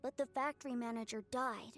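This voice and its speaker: A woman's voice: on the high side, fairly even intonation, a little fast, a bit bright, faint music behind. A woman voicing a sweet, innocent, sickly little child in a video game, with a frail childlike voice. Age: child character.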